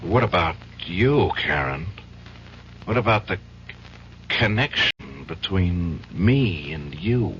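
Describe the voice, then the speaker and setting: An actor with an American accent playing a troubled, hard-drinking lawyer in an old-time radio drama, agitated and halting. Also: male voice, deep voice, lively intonation, a bit bright, heavily acted. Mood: bitter, quiet. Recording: dull, faintly noisy old radio recording.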